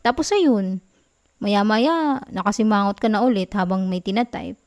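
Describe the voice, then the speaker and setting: A young woman with a Filipino accent playing a sweet, clingy, gently sulking girlfriend in an intimate ASMR roleplay, soft and whiny in her affectionate pleading. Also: mid-range voice, normal speed, dry recording, studio quality, lightly acted. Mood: wistful, gently teasing.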